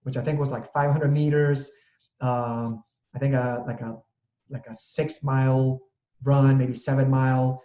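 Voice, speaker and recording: A man's voice: mid-range voice, little pitch movement, a little slow, warm tone. A middle-aged man hosting an interview, talking in long, rambling sentences full of restarts. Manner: rambling, many restarts and fillers. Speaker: interview host, real estate broker. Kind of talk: casual conversational interview. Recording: echoey room, dull audio.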